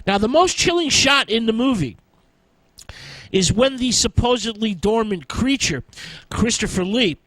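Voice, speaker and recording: A man presenting film history commentary as a radio host, speaking haltingly in short, measured phrases. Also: very high-pitched, animated, a bit bright, dry recording, poor audio.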